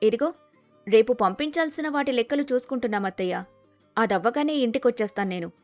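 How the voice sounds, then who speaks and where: A woman playing a sensible, respectful young daughter-in-law who talks calmly and reassuringly in a dramatized story. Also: a little high, fast, dry recording, faint music behind, lightly acted. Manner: polite, calm, reassuring.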